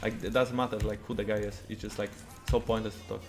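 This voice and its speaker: A man's voice: on the low side, level tone, fast, music in the background. A man with a Polish accent giving casual live gameplay commentary as a streamer. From Poland. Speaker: video game streamer.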